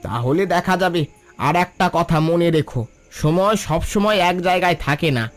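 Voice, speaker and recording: A poor but proud fisherman played by a man in a cartoon drama. He speaks high for a man, earnest and pleading over soft music. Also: expressive, a little slow. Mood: weary resolve. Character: poor, proud, long-suffering fisherman, a father. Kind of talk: scripted cartoon dialogue.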